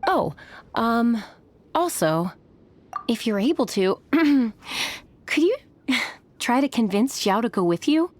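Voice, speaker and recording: A woman's voice: fairly high pitch, big pitch swings, slow, long pauses, exaggerated voice. A woman playing a composed, welcoming innkeeper in a video game, speaking politely as she explains things. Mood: encouraging, hesitant. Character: composed, welcoming adult female innkeeper, gently wistful. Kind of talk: video game character acting. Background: game background music.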